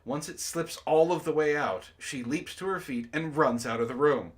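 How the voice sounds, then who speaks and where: A man hosting a comedy book club, reading aloud and bantering casually in an animated, mock-dramatic way, prone to laughing. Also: fairly high pitch, normal speed.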